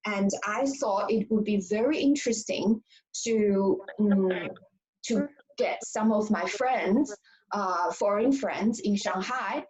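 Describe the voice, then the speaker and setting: A woman from China hosts a casual chat. She speaks earnestly and at a measured pace, with a few hesitations along the way. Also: medium pitch, darkish tone, poor audio. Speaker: host.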